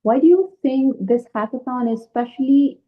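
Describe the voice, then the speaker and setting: A woman's voice: high-pitched, moderate pace. A woman hosting an interview over a video call, bubbly and enthusiastic, with frequent fillers. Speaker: host. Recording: tinny phone-like call line.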